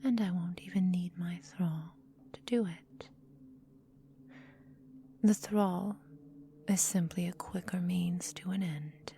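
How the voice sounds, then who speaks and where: A woman playing a centuries-old vampire mistress in a whispered ASMR roleplay, breathy and close to the microphone, condescending, possessive and coolly doting, with formal, deliberate diction. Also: fairly low pitch, mellow, a little hiss or hum. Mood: brooding, possessive.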